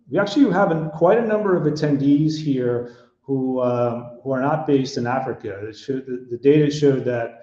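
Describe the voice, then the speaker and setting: A man's voice: medium pitch, warm tone, echoey room, lo-fi sound. A middle-aged man with an American accent hosting an online webinar, talking with many fillers and restarts. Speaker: webinar host and panel moderator.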